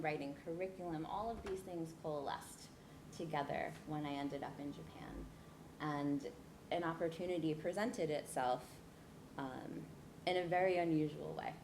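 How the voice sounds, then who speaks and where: A woman in her thirties from New Jersey, telling her life story to a leadership workshop in an earnest way, with pauses and fillers. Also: fairly low pitch, slight background noise. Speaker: guest speaker. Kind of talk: personal storytelling talk. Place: event room.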